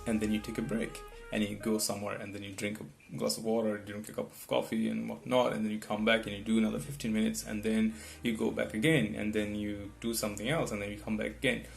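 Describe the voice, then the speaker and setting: A man's voice: lowish voice, level tone, quick pace, gravelly, hardly any pauses. A man whose first language is not English gives rambling test-prep advice, restarting often and checking in with "right?". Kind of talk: casual advice talk to camera. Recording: guitar music in the background.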